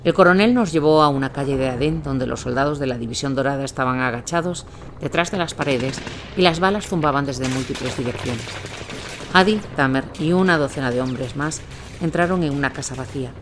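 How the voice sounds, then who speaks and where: A man's voice: medium pitch, brisk, background noise. A man reading a scripted war chronicle as the narrator of an audio story. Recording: music bed behind the voice. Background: music.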